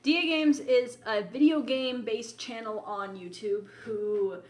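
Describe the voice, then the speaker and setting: A woman co-hosting a casual podcast, telling anecdotes in an animated way and often interrupting herself. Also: on the high side, measured pace. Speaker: podcast co-host. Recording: roomy echo, music playing behind.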